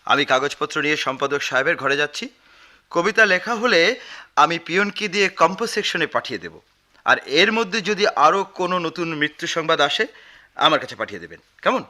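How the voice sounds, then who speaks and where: An actor playing a dry, wary poet in a Bengali radio drama. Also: male voice, fairly high pitch, very animated, brisk, pushed and bright, exaggerated voice. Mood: brisk, conciliatory. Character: dry, wary male poet newly hired.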